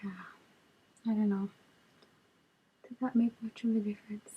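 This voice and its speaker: A woman in her twenties with a raspy voice from a cold, chatting casually through a makeup tutorial, recorded on a webcam in a small bedroom. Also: medium pitch, normal speed, narrow, tinny audio. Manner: relaxed, chatty, lots of ums while applying makeup. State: hoarse from a cold. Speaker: beauty vlogger. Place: small bedroom with storage shelves, indoors. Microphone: webcam at arm's length.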